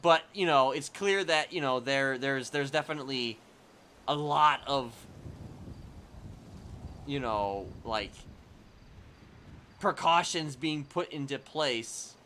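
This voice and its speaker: A man's voice: mid-range voice, slow pace, long pauses, sharp tone, slight background noise. A man livestreaming casual commentary on a roleplay game, explaining things in a rambling, hedging way. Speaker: streamer.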